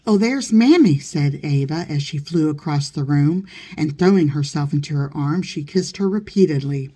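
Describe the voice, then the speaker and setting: A woman narrates a literary audiobook. Her voice sits low for a woman, with a dark, relaxed tone suited to reading prose aloud. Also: normal speed, breathy edge. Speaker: audiobook reader.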